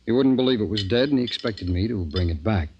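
An actor playing a driven, uneasy scientist in an intense, halting 1950s radio drama style, secretive and insistent. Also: male voice, on the low side, animated, a little mellow, muffled recording. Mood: grave, confiding. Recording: noisy 1950s radio recording.

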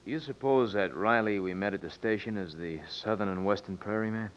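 A man's voice: fairly low pitch, a little fast, natural voice. An actor playing a blunt, offhand safecracker henchman in an old radio western. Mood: doubtful. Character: brusque safecracker henchman to a swindler. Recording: dull vintage radio recording.

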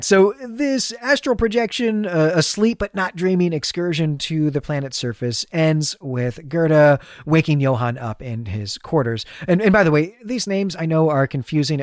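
A man's voice: fairly high pitch, dry recording, studio quality. A man co-hosting a literary recap podcast, articulate and enthusiastic as he talks through a story. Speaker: podcast co-host. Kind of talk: literary recap discussion, semi-prepared.

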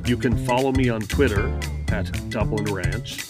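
A man's voice: on the low side, measured pace. A man, a podcast host, reading a scripted sign-off. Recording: loud music behind voice. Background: music with guitar and drums.

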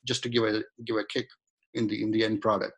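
A man's voice: lowish voice, flat delivery, fast talker, husky. A middle-aged man from India, a guest in a casual interview, talking in a rambling way with many restarts and fillers. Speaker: guest, home cook and shaving-product maker.

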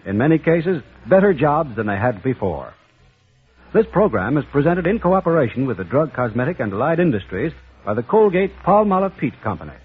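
Formal 1940s American radio announcer, lively-pitched man. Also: brisk, breathy edge. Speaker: radio announcer and sponsor spokesman. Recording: muffled, narrow-band vintage 1940s radio recording.

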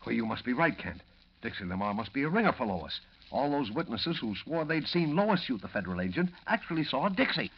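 An actor playing a gruff, irascible middle-aged newspaper boss who blusters and sputters in 1940s radio drama style. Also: male voice, medium pitch, very animated, brisk. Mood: eager, surprised. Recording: dull, noisy old radio recording.

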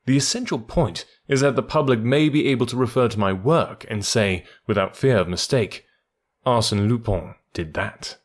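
A man voicing a proud, elusive gentleman thief in an audiobook, with a theatrical, self-assured manner. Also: medium pitch, moderate pace, close to an everyday voice.

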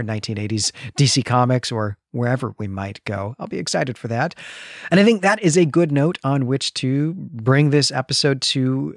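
A man's voice: medium pitch, gravelly, dry recording, studio quality. A man co-hosting a podcast, chatting casually and with enthusiasm about what he has been reading. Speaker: podcast host. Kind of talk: casual book discussion podcast.

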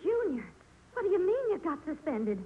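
Old hissy radio sitcom, a worried mom, high swoopy voice, earnest, kinda scolding. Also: female voice, sing-song, moderate pace. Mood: dismayed. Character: fretful, principled housewife and mother, middle-aged. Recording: muffled, noisy vintage radio recording.

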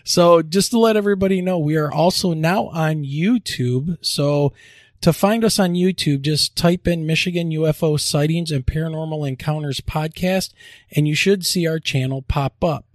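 Chatty, upbeat male co-host, slightly high voice, dry sound. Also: moderate pace, dry recording. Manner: upbeat and chatty, laughs easily. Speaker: podcast co-host. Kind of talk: casual podcast chat.

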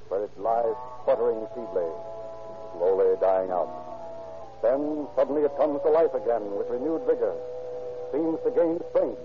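A man narrating a 1930s radio crime drama in a dramatic, measured storytelling manner, also serving as the show's announcer. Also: medium pitch. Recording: tinny 1930s radio recording.

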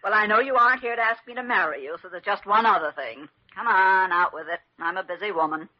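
No-nonsense female hospital nursing chief played by an actress, brisk and wry, vintage radio acting, muffled lo-fi recording. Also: mid-range voice, close to an everyday voice. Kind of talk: old-time radio drama acting.

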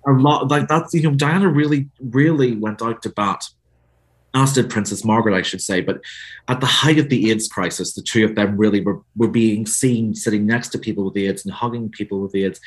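Low-voiced Northern Irish man, thoughtful. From Northern Ireland. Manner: thoughtful, hesitant, many restarts. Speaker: author guest. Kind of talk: conversational interview.

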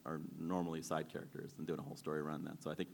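A man's voice: extremely low pitch, flat delivery, very fast, gravelly, a little hiss or hum. A man in his forties, a game studio founder, talking casually on a panel with lots of fillers and self-deprecating humor. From born in Sri Lanka, raised in Maryland. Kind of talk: panel discussion.

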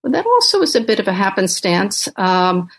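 Thoughtful older woman, halting speech. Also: medium pitch, very animated, creaky, slightly rough. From Uganda. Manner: thoughtful, hesitant with many fillers. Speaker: author and professor. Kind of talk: podcast interview.

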